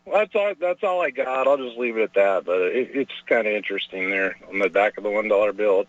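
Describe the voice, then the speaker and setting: A man's voice: lowish voice, expressive, a little fast, no echo, lo-fi sound. A man calling in to a radio show, talking in a casual, rambling way. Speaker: caller. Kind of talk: phone call to radio show.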